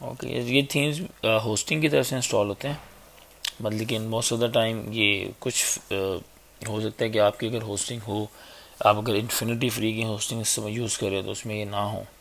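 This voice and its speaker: A man with a sore, hoarse throat giving a casual, chatty screen-recorded web design tutorial on a basic mic. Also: on the low side, fairly even intonation, moderate pace, fairly bright tone. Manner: casual, chatty, fills gaps with "okay" and "theek hai". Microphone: basic mic, a better one on order.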